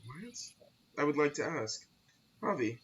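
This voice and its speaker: A man's voice: on the high side, big pitch swings, a little slow, fairly bright tone, slightly rough. A man playing a tabletop game, joking around with the dungeon master. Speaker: player. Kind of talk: casual tabletop game chat.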